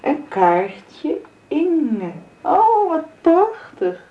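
An actress in her twenties playing a kind, gentle young woman of twenty-two who speaks in a reassuring way, on a dull old radio drama recording. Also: high voice, very animated, extremely slow, exaggerated voice. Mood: delighted. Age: 20s.

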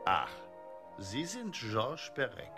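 A harried radio-play director with a medium-pitched voice over loud music. He is pedantic and officious, courteous on the surface but quick to snap. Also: huge pitch swings. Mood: officiously polite. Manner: officious, exaggeratedly courteous, snapping. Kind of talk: comic radio drama acting. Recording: music playing under the voice.

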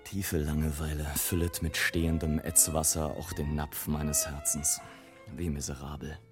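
An actor playing a sardonic, world-weary airship traveller, narrating in measured literary style in a radio play. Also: male voice, extremely low pitch, flat delivery, music in the background. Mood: bored, bitter.

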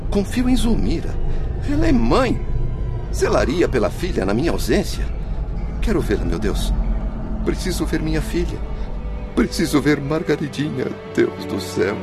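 A man's voice: a little high, big pitch swings, moderate pace, long pauses, over music. A man acting in a radio drama as a stubborn, distraught father's spirit, agitated and insistent. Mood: desperate denial.

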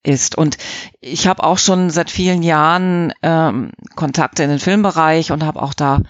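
A woman's voice: low voice, unhurried, no room sound, dull audio. A middle-aged woman giving casual, reflective interview answers, thinking aloud in long, rambling explanations. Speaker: audio drama producer and editor, interviewee.

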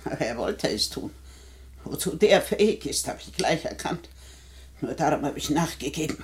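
Scornful old widow, low-voiced. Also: female voice, lively intonation, darkish tone. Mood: scornful, defiant. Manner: scornful, deliberate. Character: sharp-tongued, defiant rich old bedridden widow. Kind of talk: 1960s German radio play acting.

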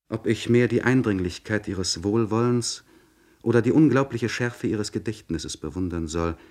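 An actor playing a troubled, introspective young aristocratic poet, speaking formally and eloquently in a literary radio monologue. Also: male voice, sits low, a little mellow. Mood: confessional, uneasy. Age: young adult. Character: troubled, introspective 26-year-old aristocratic English poet writing a letter. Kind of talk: literary radio monologue, letter read aloud.

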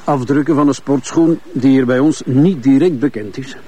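An actor playing a testy, pedantic forensic investigator with a Flemish accent in a radio crime drama. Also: male voice, medium pitch, moderate pace, mellow, breathy edge, close to an everyday voice. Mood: testy, explaining.

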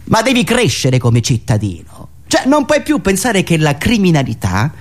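Male monologue performer, Roman accent, highish and very lively pitch, ironic, dramatic delivery. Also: moderate pace. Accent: Roman Italian. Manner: ironic, dramatic shifts between muttering and loud declaiming. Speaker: satirical monologue performer and radio show host. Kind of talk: theatrical satirical monologue.

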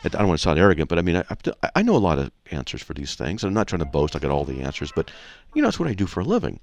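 Deep gravelly man hosting a radio show. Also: huge pitch swings, racing. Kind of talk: casual conversational teaching and anecdotes.

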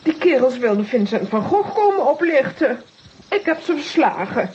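A woman's voice: high-pitched, big pitch swings, normal speed, darkish tone, dull audio, slight background noise. An actress playing a feisty, combative woman adventurer, bold and dramatic, in a children's audio drama. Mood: flustered, proud.

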